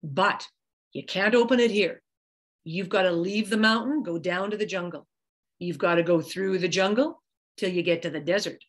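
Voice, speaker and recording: Woman doing a booming, solemn god. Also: natural voice. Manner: slow, grave, booming.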